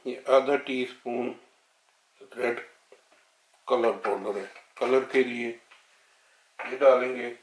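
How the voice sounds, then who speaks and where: A man's voice: mid-range voice, steady tone, slow pace, pauses often. A man hosting a home cooking video, narrating a recipe step by step in a plain way. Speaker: home cooking channel host. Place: home kitchen, indoors. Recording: roomy kitchen echo, faint noise, sizzling.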